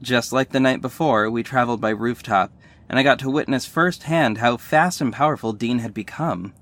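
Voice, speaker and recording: A man's voice: medium pitch, moderate pace, a bit bright, close to an everyday voice. A man playing an earnest, emotional young superhero, telling his story in dramatic first-person audio drama narration. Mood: steady, intrigued.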